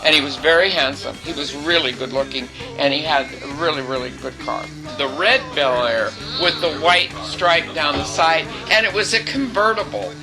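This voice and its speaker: Woman in her eighties, loud, chatty storyteller, music behind. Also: low voice, moderate pace, few pauses, low-quality recording. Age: 80s. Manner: chatty, lively, quotes people in dialogue. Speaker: interviewee. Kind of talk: reminiscing storytelling.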